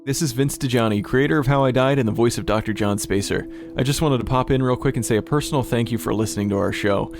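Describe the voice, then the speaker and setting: A man's voice: mid-range voice, quick pace, hardly any pauses, music in the background. A man, a podcast creator and voice actor, reading scripted thanks and credits to listeners.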